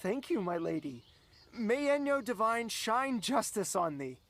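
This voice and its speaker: A woman's voice: fairly high pitch, big pitch swings, measured pace, heavily acted. Woman as a courteous royal, swooping intonation. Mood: polite, reserved. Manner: formal, soft-spoken. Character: courteous, formal young male royal. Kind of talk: fantasy audio drama dialogue.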